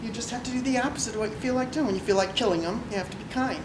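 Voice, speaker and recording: A woman's voice: on the high side, rapid-fire. A woman in the audience sharing a personal story, candid and folksy. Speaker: audience member. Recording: roomy hall with clear noise.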